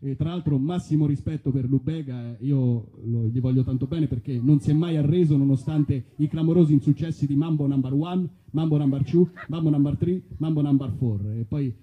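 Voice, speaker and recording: A man from Italy performing live stand-up, telling stories in a hesitant, deadpan way on a muffled stage recording. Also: fairly high pitch, brisk, hardly any pauses. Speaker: stand-up comedian. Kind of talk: live stand-up comedy monologue. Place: festival stage outdoors among olive trees.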